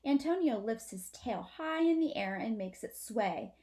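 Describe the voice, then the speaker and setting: A woman's voice: a little high, lively intonation, measured pace, mellow. A woman, a teacher, narrates a children's story aloud for her students.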